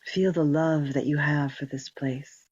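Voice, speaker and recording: Female meditation guide, soft husky breathiness, gentle spaced-out phrasing, dry but dull lo-fi sound. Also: deep voice, steady tone, no room sound. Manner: slow, gentle instruction with long pauses. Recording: dull, muffled lo-fi recording.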